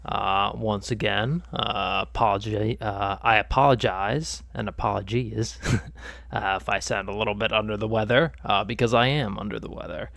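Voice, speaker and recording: Stuffy-nosed gravelly streamer guy. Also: lowish voice, steady tone, moderate pace, a bit bright, no room sound. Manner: rambling, lots of uh and um fillers. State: stuffed up, nasal from a cold. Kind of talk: casual gaming stream chat.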